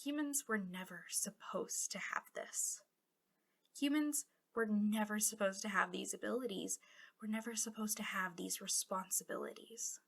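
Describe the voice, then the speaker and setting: A woman's voice: mid-range voice, normal speed, vocal fry. A woman playing a bitter, impassioned female villain guarding a captive in an intimate ASMR roleplay, drifting between dramatic speech and whispers. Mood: sad, earnest.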